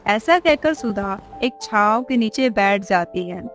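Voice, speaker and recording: A woman's voice: medium pitch, animated, slow pace, soft background music. A woman narrating a scripted moral story as a storyteller.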